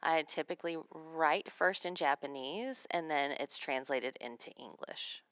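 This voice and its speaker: A woman with a notably low, deep voice gives a spoken interpretation of a seminar lecture. She moves through it in measured phrases, and the sound is close and dry with little room around it. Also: a bit bright, clean tone, no room sound. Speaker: sign language interpreter voicing a signed lecture.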